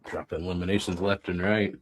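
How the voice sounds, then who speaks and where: A man's voice: sits low, level tone, fast. A man making brief, casual remarks in a conversation.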